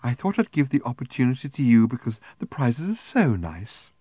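A man voicing a prim, genteel middle-aged woman selling raffle tickets in a storybook, speaking slowly with a cloying, sighing sweetness. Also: medium pitch, very animated, mellow, husky, no echo, pitched above the performer's own voice. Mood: confidential, coy.

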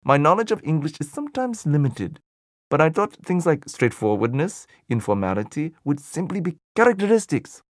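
A man's voice: on the high side, big pitch swings, moderate pace, dry recording, clean studio sound. A man voicing an older male Buddhist teacher in an audiobook dialogue, earnest and questioning, thinking aloud as he searches for meaning. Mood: puzzled. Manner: questioning, searching, thoughtful delivery.

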